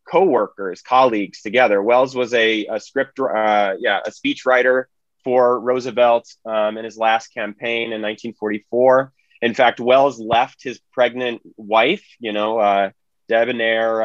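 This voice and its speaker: A dry, slightly dull recording of a man with a mid-pitched voice. He gives informal analysis as a podcast panelist, explaining things conversationally. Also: no room sound.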